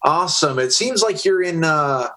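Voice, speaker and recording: A man's voice: a little high, animated, moderate pace, bright, forceful tone. A man hosting a podcast over a video call, upbeat and enthusiastic. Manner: enthusiastic, hyped-up. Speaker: podcast host.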